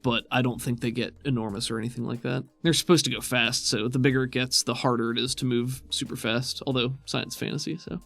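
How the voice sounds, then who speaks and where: A man's voice: medium pitch, steady tone, brisk, creaky, few pauses, a bit bright, dry recording, faint music behind. A man working as game master, casually improvising descriptions for a tabletop game in a conversational way.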